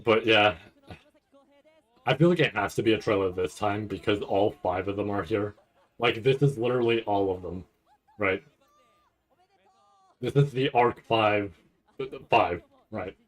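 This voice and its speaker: A man doing casual livestream reaction commentary, rambling and stammering over repeated words with a nervous, excitable manner. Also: on the low side, measured pace, long pauses. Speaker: streamer reacting to a live anime event.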